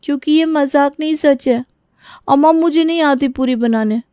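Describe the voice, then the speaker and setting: A woman voicing an earnest, expressive young daughter-in-law in a Hindi cartoon story, pleading and eager. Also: sits high, normal speed, no room sound, studio quality, close to an everyday voice. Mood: confessing.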